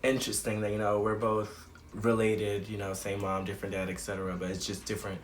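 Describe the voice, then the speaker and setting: A man in his mid twenties hosting a casual chat, animated and slangy, hyping up the listener. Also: on the low side, fairly quick, a little hiss or hum. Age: 20s. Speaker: host.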